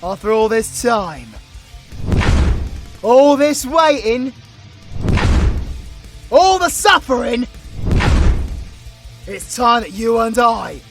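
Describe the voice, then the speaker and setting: A brash, vengeful British hero, acted by a man in a superhero audio drama. He is cheeky, foul-mouthed and combative, throwing insults with animated swings of pitch. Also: drawn-out words, pauses often, loud music behind the voice, exaggerated voice. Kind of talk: superhero audio roleplay acting.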